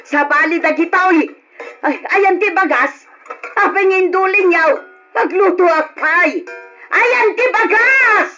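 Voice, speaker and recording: Forgetful elderly mother, Ilocano accent, fretful muttering, high-pitched, muffled lo-fi radio sound. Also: takes it slowly, bright, forceful tone, soft background music, full character voice. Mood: agitated fussing. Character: confused, forgetful elderly mother fussing about cats, pots and rice. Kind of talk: old radio drama acting. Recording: muffled lo-fi radio drama recording.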